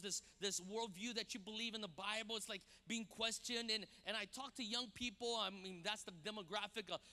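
Bright-voiced man. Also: high voice. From Brazil. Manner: animated, repeats words when starting sentences. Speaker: guest pastor. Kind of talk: sermon with personal stories. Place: large church stage with audience. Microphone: handheld mic. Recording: long hall reverb.

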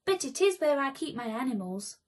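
A woman's voice: sits high, sing-song, a little fast, recorded from a distance. A woman voicing an apologetic, kindly male innkeeper in a children's storybook, speaking with helpful emphasis.

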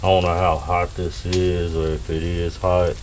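A man with a deep, low voice talks in an unhurried drawl, telling viewers what he is doing as he cooks. He is outdoors at a grill, caught by a camera mounted above it. The outdoor ambience and noise come through clearly. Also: flat delivery, a little mellow. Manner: laid-back, drawling, talks to viewers as y'all. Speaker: home cook vlogger. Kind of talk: casual cooking vlog. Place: outdoors at a grill beside a house. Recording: noisy outdoor camera audio.

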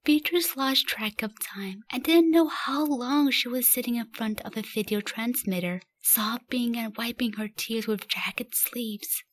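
A woman's voice: a little high, a little slow, few pauses. A woman reading scripted story narration as the narrator of a radio play.

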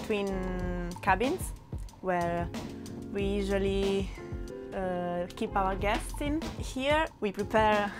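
A young woman shows the inside of a boat in a casual tour. She speaks very slowly and laughs between words. Music plays clearly underneath. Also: mid-range voice. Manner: laughing, slow and unhurried. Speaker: sailboat liveaboard mother. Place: indoors in a small boat cabin. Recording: background music.

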